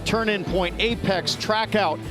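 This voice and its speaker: A man's voice: high-pitched, unhurried, over music. A man talking through a racetrack corner by corner as an analyst in a live broadcast, precise and technical. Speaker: race analyst. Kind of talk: live track preview commentary.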